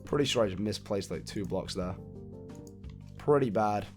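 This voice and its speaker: Man, hoarse raspy voice, somewhat low-pitched, casual tutorial narration, counts out blocks, faint music behind. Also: normal speed, darkish tone. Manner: chatty, counts blocks aloud, laughs off his own mistakes. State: hoarse, croaky after a cold. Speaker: video game building tutorial host. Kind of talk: casual step-by-step gameplay walkthrough. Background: faint background music.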